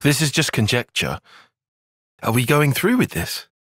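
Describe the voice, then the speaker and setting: A man playing a cerebral, earnest young soldier and strategist in a video game, speaking in precise, formal, analytical language and quick to exasperation. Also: mid-range voice, very animated, a bit bright, dry recording, studio quality. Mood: measured, analytical. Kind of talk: video game cutscene voice acting.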